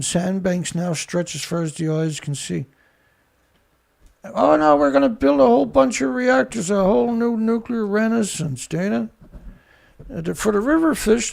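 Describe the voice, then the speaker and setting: A man hosting a ranting commentary show, with a rough voice, blunt, angry and swearing. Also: high voice. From Canada. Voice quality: rough, gravelly voice. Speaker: show host.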